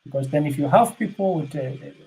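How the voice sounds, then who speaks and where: Older guy on a panel, kinda high voice, gravelly, lots of uhs and restarts. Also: animated, darkish tone, low-quality recording. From South Sudan. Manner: halting, many fillers and restarts. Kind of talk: unscripted panel remarks.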